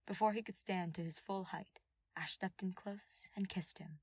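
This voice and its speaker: A woman's voice: medium pitch, fairly even intonation, normal speed, slightly rough, no echo. A woman reading scripted dramatic fiction as an audiobook narrator.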